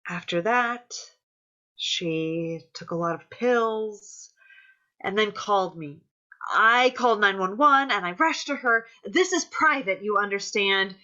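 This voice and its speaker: A woman acting in a play reading as an anxious, conciliatory friend, halting and apologetic as she placates. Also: mid-range voice, lively intonation, pushed and bright, lo-fi sound. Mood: defensive, uneasy. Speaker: actor. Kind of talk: staged play reading, naturalistic dialogue.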